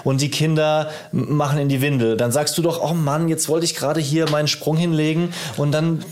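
A man's voice: on the high side, steady tone, fairly quick, a little mellow. Casual male podcast co-host, informal and joking. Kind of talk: casual chat between friends.

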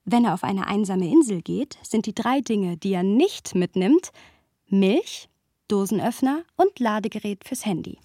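A woman's voice: mid-range voice, animated, measured pace, mellow, dry recording, studio quality. An actress playing a thoughtful, playful young woman who narrates a literary radio play. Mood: playful, matter-of-fact. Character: thoughtful, playful young woman recounting her close male friend. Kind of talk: literary scripted narration with lively dialogue.

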